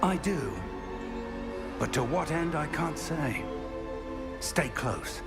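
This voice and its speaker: An actor playing a seasoned, protective wizard professor in a video game, speaking in a measured, formal, deliberate way. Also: male voice, fairly high pitch, lively intonation, vocal fry, full character voice. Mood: grave, wary. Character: seasoned male wizard professor, composed, curious, protective mentor. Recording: loud orchestral game music behind. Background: game soundtrack music.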